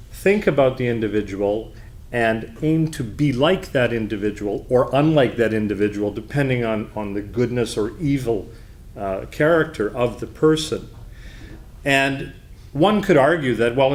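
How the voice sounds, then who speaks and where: A man delivering an off-the-cuff debate speech as opposition leader, formal and deliberate, with halting phrasing. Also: mid-range voice. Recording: background noise, live room.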